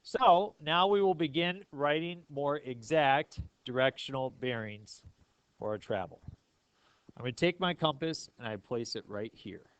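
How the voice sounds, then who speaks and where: A man's voice: medium pitch, unhurried, smooth, no room sound, rough recording. A man teaching a math class step by step, often checking understanding with questions. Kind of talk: classroom lesson, explaining step by step.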